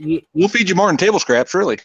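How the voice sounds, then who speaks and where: A man hosting a stream, bantering loudly with crude, teasing jokes. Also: high-pitched, lively intonation, fast talker, husky, dry recording, highs cut off. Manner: loud, profane, teasing banter. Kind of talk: casual chat.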